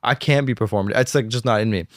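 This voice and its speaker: Young american guy, low voice, lots of "like", dry close mic. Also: no echo. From United States. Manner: fast, filler-heavy talk with many "like"s. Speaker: fashion designer, guest. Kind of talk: casual interview conversation.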